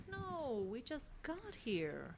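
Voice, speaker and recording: A woman playing a seductive, eerie vampire in a horror audio drama, soft, languid and teasing. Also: high voice, huge pitch swings, extremely slow, vocal fry, crowd noise, full character voice. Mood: quiet menace. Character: seductive, eerie female vampire, coolly mocking.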